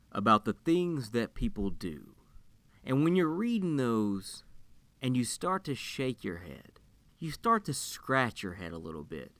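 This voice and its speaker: Slow, deliberate male segment announcer. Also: medium pitch, a bit bright, no echo. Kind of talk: scripted dramatic intro.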